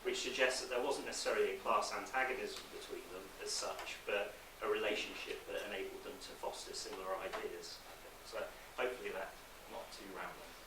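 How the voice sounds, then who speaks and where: A man answering questions on an academic conference panel, speaking in a measured, formal way with hesitations. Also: on the low side, fairly even intonation. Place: conference room, indoors. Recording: distant echoey conference room, clear noise.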